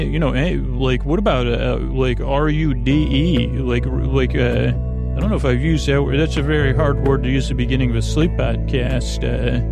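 Male sleep podcast host: creaky, medium-pitched, digressive and hesitant, soothing bedtime delivery with music behind. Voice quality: creaky, gravelly. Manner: meandering, hesitant, lulling, full of digressions. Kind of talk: rambling, improvised bedtime monologue for sleep.

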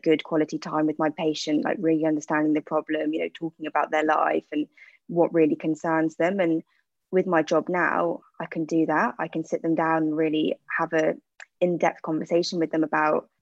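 Low, gravelly woman. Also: no room sound. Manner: chatty, candid, with frequent fillers. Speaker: interview guest, aesthetic practitioner. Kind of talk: casual interview answers. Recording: dull lo-fi sound.

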